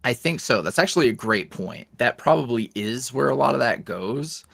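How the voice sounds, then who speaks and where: Lively, rambling young-sounding man. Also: mid-range voice, normal speed, vocal fry, no room sound, studio quality. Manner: rambling, lots of fillers and restarts. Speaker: guest, ASMR roleplay creator. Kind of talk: casual interview chat.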